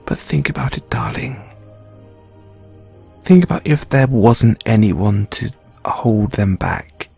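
Very breathy man, slow pace, tender soothing boyfriend roleplay, hushed delivery, intimate. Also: medium pitch, lively intonation, soft background music. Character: gentle young boyfriend consoling his grieving partner. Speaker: audio roleplay performer. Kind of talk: intimate comfort audio roleplay.

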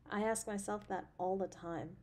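A woman playing an affectionate, anxious, playfully teasing girlfriend in a romantic audio roleplay, with a tender, expressive delivery. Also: medium pitch, lightly acted. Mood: soft, grateful. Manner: tender, expressive, with sighs and soft chuckles.

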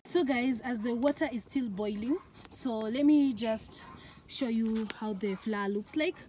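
A woman's voice: on the high side, a little slow, mellow. Young female cooking vlogger, East African accent, informal demo delivery, noisy open-air phone sound. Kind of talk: casual cooking demonstration. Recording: noisy outdoor phone recording.

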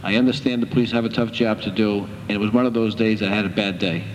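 An older man presiding as a judge in a courtroom, speaking in a measured, stern, lecturing way. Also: fairly low pitch, fairly even intonation. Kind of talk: courtroom proceedings. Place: municipal courtroom. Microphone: bench microphone. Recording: reverberant courtroom, background noise.

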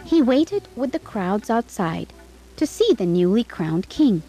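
A woman tells a children's fairytale from a script. Her delivery is expressive and dramatic, with lively swings in pitch, and music plays faintly behind her. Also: unhurried, rough recording. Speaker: storyteller.

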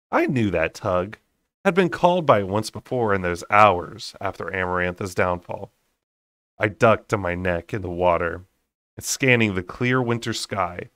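A young adult man narrating a fantasy novel as an amateur audiobook reader, with deliberate, dramatic phrasing. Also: lowish voice, a bit bright, no room sound, studio quality. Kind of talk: unedited expressive audiobook reading of a fantasy novel.

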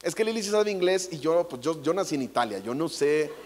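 Brief: jokey Mexican preacher, high-pitched man, long hall reverb. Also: animated, a little fast, a little mellow, slight background noise. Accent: Mexican Spanish. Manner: playful, jokey, acts out quotes. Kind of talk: comedic stage sermon. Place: large theater stage. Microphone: handheld mic.

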